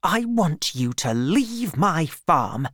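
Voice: medium pitch, sing-song, unhurried, smooth, no room sound, studio quality, voice pushed up. A reader voicing a proud, fiery young woman farmer with emphatic, emotional delivery in a storybook. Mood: angry, distressed.

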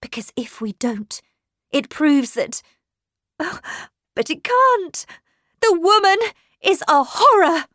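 A woman's voice: a little high, slow pace, long pauses, a little mellow, husky, dry recording, clean studio sound, pitched above the performer's own voice. A woman voicing an anxious, insistent young governess in a storybook narration, speaking in an urgent, emphatic, impatient manner. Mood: horrified, urgent.

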